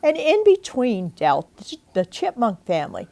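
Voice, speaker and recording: An older woman reading a storybook aloud in an expressive, deliberate way, pausing for asides and questions to young listeners. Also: on the high side, vocal fry. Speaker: grandmother reading a picture book aloud. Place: indoors at home.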